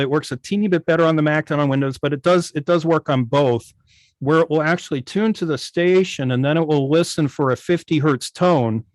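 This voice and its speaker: A man explaining his work informally on a video call, in a halting, detailed way. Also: fairly high pitch, dry recording. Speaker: guest, radio station operator. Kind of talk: informal explanation in an online club meeting.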